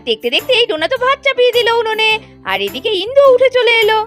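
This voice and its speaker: High-voiced woman telling a children's story. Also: sing-song, quick pace. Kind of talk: scripted children's story narration. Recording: music bed under the voice.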